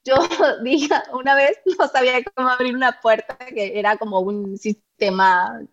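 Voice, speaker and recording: A woman's voice: on the high side, fairly even intonation, measured pace, fairly bright tone. Mexican woman, giggly storyteller. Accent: Mexican Spanish. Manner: laughs often while telling stories. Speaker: guest. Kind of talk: casual conversation. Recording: dull lo-fi sound.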